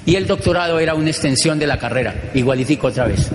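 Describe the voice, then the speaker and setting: A middle-aged man with a Colombian accent tells his story to an audience in a slightly high voice, hammering home phrases through rhythmic repetition. The sound bounces around a large hall with a long reverb tail and a faint room hum underneath. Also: fast talker. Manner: animated, rhythmic repetition, acts out dialogues. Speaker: motivational speaker.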